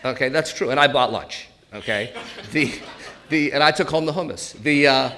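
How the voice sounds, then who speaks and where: A man's voice: mid-range voice, moderate pace, bright, forceful tone, a little hiss or hum. A man in his sixties with a New England accent, giving an informal live talk and bantering jokingly with his audience. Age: 60s. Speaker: presenter, elder law attorney.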